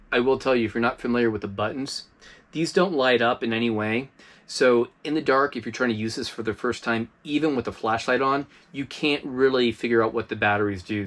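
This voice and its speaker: Relaxed male host, mid-pitched, chatty product-review delivery with plenty of fillers. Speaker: host of a preparedness review channel. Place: inside an RV.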